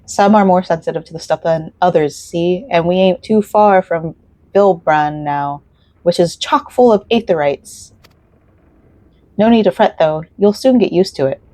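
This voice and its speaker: A woman's voice: fairly low pitch, unhurried, a little mellow, no room sound, lightly acted. A woman reading out a friendly sailor's game dialogue in her own plain voice, without an accent. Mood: reading aloud.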